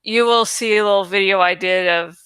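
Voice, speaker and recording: Bright-voiced, sweary woman chatting casually. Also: medium pitch, steady tone, fairly quick, clear, resonant tone, dry recording. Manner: sweary and irreverent. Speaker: host of a reading channel. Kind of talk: casual chatty rambling to viewers.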